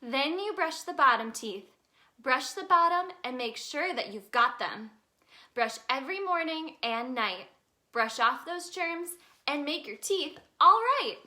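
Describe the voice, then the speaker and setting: A woman voicing a gentle, instructive mother tiger from a children's storybook, reassuring and sing-song. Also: high-pitched, expressive, measured pace, heavily acted. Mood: singing, encouraging.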